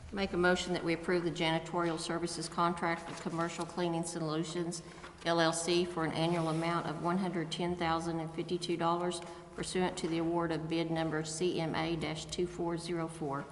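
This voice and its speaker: A woman's voice: lowish voice, flat delivery, brisk. A woman council member formally reading out motions in a meeting. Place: indoor council chamber. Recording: very echoey chamber, faint noise.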